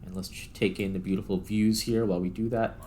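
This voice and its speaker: A man's voice: fairly low pitch, steady tone, fast, mellow, a little hiss or hum. A man giving casual gameplay commentary, thinking aloud in a tentative, self-questioning way as he narrates each step. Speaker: gaming video host.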